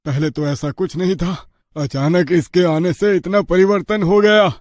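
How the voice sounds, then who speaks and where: A troubled father, acted by a man, suspicious and fretful. His voice is strikingly high for a man, recorded dry and close for a storybook drama. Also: steady tone, a little slow, darkish tone, dry recording. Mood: worried, suspicious. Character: worried, suspicious father of a struggling family.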